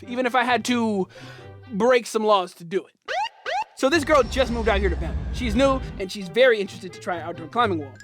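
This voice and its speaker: A man's voice: very high voice, a bit bright. A young man telling a comedic personal story in a playful, slangy, punchy way, as host of his channel.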